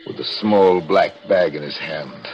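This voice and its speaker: A man's voice: rumbling low, unhurried, a little mellow, muffled recording. An actor playing a guilt-ridden murderer narrating his own story in 1940s radio thriller style, brooding and melodramatic with desperate outcries. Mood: bleak, resigned. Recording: old radio drama with music.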